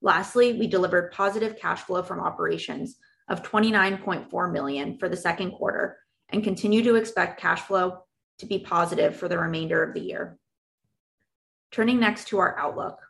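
A woman presenting company financial results as an executive, reading prepared remarks in a formal, measured way. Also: fairly low pitch, vocal fry, a bit bright. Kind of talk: scripted earnings call presentation.

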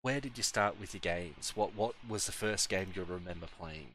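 A man with a somewhat low voice hosts a relaxed podcast chat. He talks in a laid-back way and often repeats words as he gets each sentence started. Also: normal speed, slight background noise. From Australia. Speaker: podcast host.

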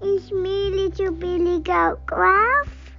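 A woman's voice: extremely high pitch, fairly even intonation, slow, creaky, dull audio, soft background music, pitched above the performer's own voice, exaggerated voice. A woman voicing a small, clever young male billy goat in a storybook, with a teeny tiny, childlike, pleading voice. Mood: small and eager.

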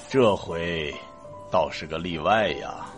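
A man playing a genial, avuncular older chief scientist who speaks deliberately in a sci-fi audio drama. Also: low-pitched, faint music behind.

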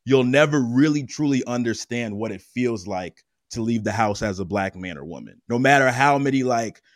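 A man's voice: medium pitch, lively intonation, moderate pace, no echo, clean studio sound. A man in a casual podcast discussion, making his point steadily and with emphasis. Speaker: podcast guest.

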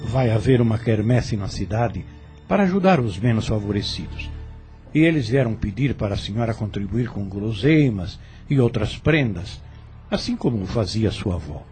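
A man's voice: on the low side, expressive, moderate pace, faint music behind, close to an everyday voice. An actor playing a polite but persistent male farm manager in a radio drama, speaking formally and deferentially. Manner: formal and deferential, presses his point quietly.

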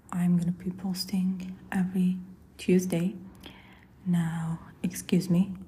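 A young woman whose first language is Arabic, hosting a solo podcast in a soft, often breathy and half-whispered, rambling way. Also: lowish voice. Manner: relaxed, rambling, with fillers and restarts. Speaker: podcast host.

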